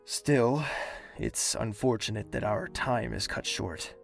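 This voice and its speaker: An actor playing a young prince in an audio drama, speaking in a measured, formal way. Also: male voice, fairly low pitch, expressive, creaky, faint music behind, exaggerated voice. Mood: calm, reassuring. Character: young prince, tender in private, commanding with subordinates.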